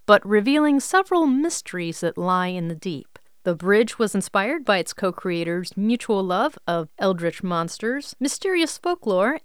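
A woman's voice: medium pitch, clear, resonant tone, dry recording, clean studio sound. A woman hosting a show, reading a scripted introduction. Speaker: podcast host.

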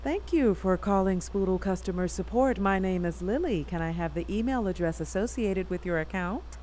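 Woman acting harried young woman, very animated delivery. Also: mid-range voice, normal speed, slight background noise, natural voice. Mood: forced politeness. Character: harried, exasperated young woman working remote customer support. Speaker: actor in an audio fiction podcast. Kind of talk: naturalistic audio drama acting.

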